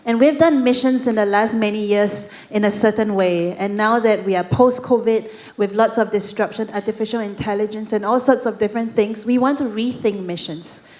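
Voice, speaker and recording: A woman's voice: mid-range voice, moderate pace, hardly any pauses, darkish tone. Conversational woman co-hosting a conference. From Singapore. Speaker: conference emcee. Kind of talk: emceeing a church conference.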